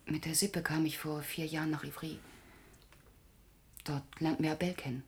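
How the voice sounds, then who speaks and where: Low-voiced, grave young woman. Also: steady tone, normal speed, vocal fry. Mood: subdued, guarded. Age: young adult. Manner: terse, restrained. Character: grave, proud young Romani woman, loyal and vengeful. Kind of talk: German radio crime drama acting.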